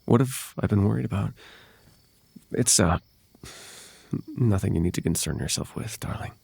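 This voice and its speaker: A man voicing a possessive fae royal in an ASMR roleplay, courtly and coaxing with soft menace under a silky, creaky voice. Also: on the low side, normal speed, no echo, full character voice. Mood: tender, coaxing. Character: possessive, silky male fae royal wooing a captive lover. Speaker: voice actor.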